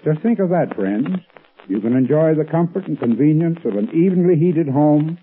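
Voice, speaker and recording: An American man with a husky voice, delivering a scripted 1930s radio advertisement as a company expert. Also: a little high, lively intonation, normal speed, slight background noise. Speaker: company heating expert giving a sponsor talk. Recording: tinny 1930s radio recording.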